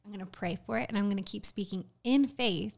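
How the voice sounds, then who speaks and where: Female guest, 20s, faith-driven, gentle fry. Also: mid-range voice, fast. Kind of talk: casual faith talk on a podcast.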